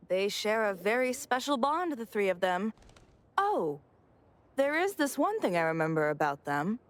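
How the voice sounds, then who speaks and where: A nosy yet kindly neighbourhood mother in a video game. She rambles and gossips in a medium-pitched voice with a theatrical delivery. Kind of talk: video game dialogue acting.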